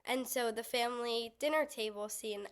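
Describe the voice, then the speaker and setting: Young female interviewee, actor, high voice, chatty and informal. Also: moderate pace. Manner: chatty, frequent "like". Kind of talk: casual interview chat.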